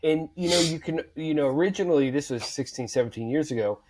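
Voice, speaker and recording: A man's voice: a little high, fast, a little mellow, rough recording. A man co-hosting a casual podcast chat, rambling with lots of fillers and restarts. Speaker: podcast co-host.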